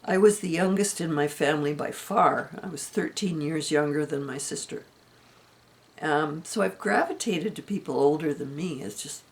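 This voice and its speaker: A woman in her seventies giving a chatty, rambling health update from home, her voice going hoarse. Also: low-pitched. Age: 70s. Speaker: vlogger. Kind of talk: casual personal health update.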